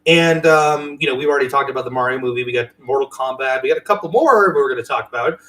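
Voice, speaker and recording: A man's voice: medium pitch, quick pace, fairly bright tone, rough recording. A man co-hosting a podcast, talking casually with earnest, emphatic fan conviction. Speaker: podcast co-host. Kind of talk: casual chat.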